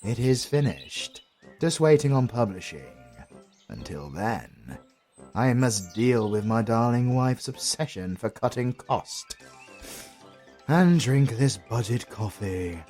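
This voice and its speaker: An actor with a British accent playing a pompous, melodramatic retired dark lord in a comedy audio roleplay, husky and easily outraged. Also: male voice, medium pitch, expressive, slow pace, soft background music. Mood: dry, grumbling. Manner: grandiose, melodramatic, sardonic.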